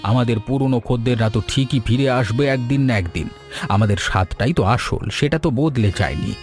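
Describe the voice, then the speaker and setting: A man voicing a kindly, patient old grandfather who runs a food shop, gentle and earnest, in a cartoon story. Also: mid-range voice, a little fast, faint music behind, lightly acted. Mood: reassuring. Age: older.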